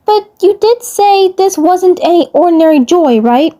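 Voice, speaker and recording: A woman's voice: extremely high pitch, animated, moderate pace, warm tone, voice pushed up. A woman voicing a panicked, disbelieving cartoon boy in a fan fiction story, with strained, incredulous exclamations. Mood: pleading disbelief.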